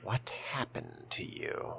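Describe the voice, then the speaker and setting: A man role-playing a vampire in a game in a hushed, wry, theatrical murmur with a gravelly, whispery grain. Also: slow. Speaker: player voicing a vampire in a game. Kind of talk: hushed in-character role-play. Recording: noticeable background noise and game ambience.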